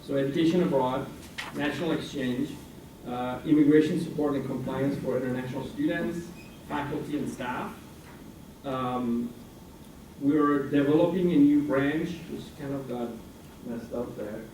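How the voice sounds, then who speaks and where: A man's voice: medium pitch, moderate pace, a little mellow, distant mic. A man in his forties, raised in France and Iranian American, giving a hesitant, filler-filled presentation to a student senate as a guest speaker. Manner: hesitant, many fillers and restarts. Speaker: guest speaker, international center director. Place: university meeting room. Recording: roomy hall recording from afar, noisy.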